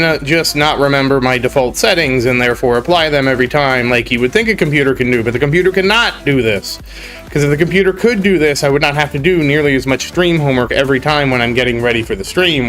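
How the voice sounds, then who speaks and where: A man's voice: medium pitch, a bit bright. A young man with a cold and a congested voice, rambling and grumbling on a casual game live stream. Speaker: gaming streamer.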